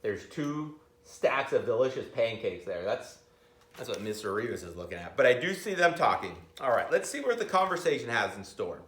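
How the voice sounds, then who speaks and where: Animated middle-aged man reading aloud. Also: mid-range voice. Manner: expressive, pausing to comment and ask listeners questions. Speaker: school reader of picture books to children. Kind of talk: picture book read-aloud with asides. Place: school library room. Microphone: camera a few metres away. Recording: roomy library, camera at a distance.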